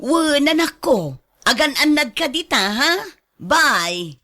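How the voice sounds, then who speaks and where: A woman's voice: sits high, big pitch swings, slow pace, sharp tone, exaggerated voice. A woman with a Filipino accent playing a proud, doting middle-aged mother in a radio drama, effusive and boastful.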